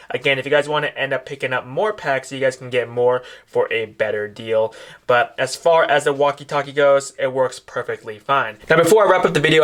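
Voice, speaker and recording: A man's voice: mid-range voice, a bit bright. A man casually demonstrating a product to camera, explaining step by step as he addresses viewers. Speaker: product reviewer.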